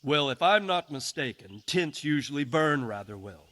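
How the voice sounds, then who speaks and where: An actor playing a shrewd medieval lord in a video game, speaking in a dry, wry, deliberate manner. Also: male voice, fairly high pitch, lively intonation, a bit bright. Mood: sly. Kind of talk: dramatic video game cutscene acting.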